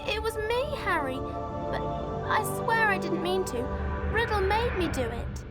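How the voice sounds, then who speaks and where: Very high, distressed little schoolgirl. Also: expressive, a little slow, loud music behind the voice. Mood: frightened, apologetic. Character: frightened, guilt-stricken young schoolgirl of eleven. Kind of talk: video game cutscene acting.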